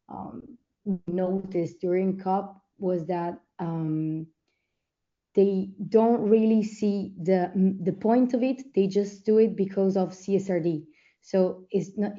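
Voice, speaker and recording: A woman who has lost her voice from talking too much, speaking hoarsely and haltingly in an online group discussion. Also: fairly low pitch, warm tone. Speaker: panel participant. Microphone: video call. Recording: muffled video call audio.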